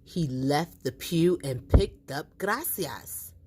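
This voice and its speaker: A woman reading a picture book aloud to young children in an animated, expressive storytelling style. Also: low voice, slow, noisy background. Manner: animated, acts out the dialogue.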